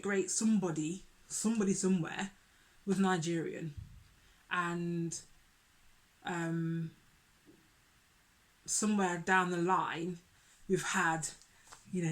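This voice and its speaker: A young woman vlogging to camera in an earnest, expressive way, repeating herself for emphasis. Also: medium pitch. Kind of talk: casual vlog talk to camera.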